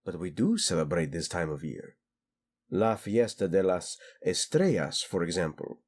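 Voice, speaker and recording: Man acting a husky, imperious prince. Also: sits low, animated, darkish tone. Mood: puzzled, irritated. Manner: formal, deliberate speech sprinkled with Spanish. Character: haughty, teasing Spanish-speaking fantasy prince, courtly and imperious. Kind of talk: scripted romantic audio roleplay.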